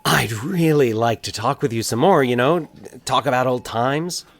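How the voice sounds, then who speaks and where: A man's voice: mid-range voice, voice pushed up, close to an everyday voice. A man playing a wistful young man in an audio drama, earnest and hesitant in manner. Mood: eager, friendly. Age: young adult. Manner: halting, earnest.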